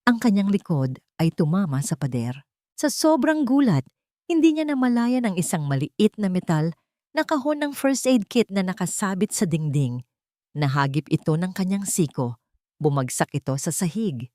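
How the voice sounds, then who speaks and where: A woman's voice: lowish voice, very animated, moderate pace, warm tone, dry recording, studio quality. A woman with a Filipino accent reading a scripted story as a dramatic narrator.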